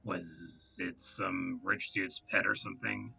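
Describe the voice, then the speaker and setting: A man's voice: deep voice, fairly even intonation, slow pace, vocal fry, bright, forceful tone, breathy edge, voice pushed down, exaggerated voice. A man voicing a male player character who asks short, plain questions in a video game read-aloud. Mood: questioning.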